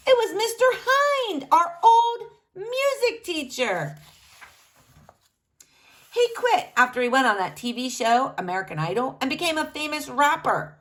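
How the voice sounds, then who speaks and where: Female storybook reader for kids, higher-pitched, very lively intonation, speaks straight to young listeners. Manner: addresses young listeners directly, animated. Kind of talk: expressive children's read-aloud narration.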